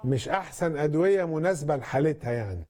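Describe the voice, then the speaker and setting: A man with an Egyptian accent speaks very quickly in a soft, mellow tone, slightly high for a man. He is a doctor fielding calls on a live medical advice show. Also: animated. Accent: Egyptian Arabic. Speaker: doctor answering callers on a TV phone-in show.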